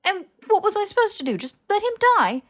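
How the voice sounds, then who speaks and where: A woman voicing a stubbornly earnest young man in an audiobook, wry, halting and self-justifying. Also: extremely high pitch, sing-song, fairly bright tone, pitched above the performer's own voice, full character voice. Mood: defensive. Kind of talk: dramatized audiobook dialogue.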